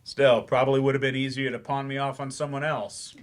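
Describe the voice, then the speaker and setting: An earnest male English teacher with a wry streak , played by a man in a stage reading. His voice sits at an ordinary middle pitch, in the manner of live theatre dialogue. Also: little pitch movement, a little fast, natural voice. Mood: earnest, subdued. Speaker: actor in a staged play reading.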